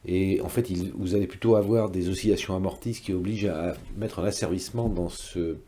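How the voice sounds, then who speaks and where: Low, mellow male technical explainer. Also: fairly even intonation, fairly quick. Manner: didactic, careful step-by-step rephrasing. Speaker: electronics teacher, presenter.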